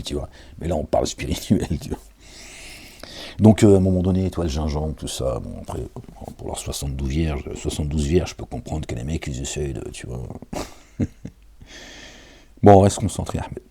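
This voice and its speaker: A man's voice: a bass voice, expressive, moderate pace, pauses often, mellow, breathy edge. A tired man reading scripture aloud and commenting on it in a casual, digressive way, talking straight to his listeners with chuckles. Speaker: self-styled preacher reading and commenting on scripture.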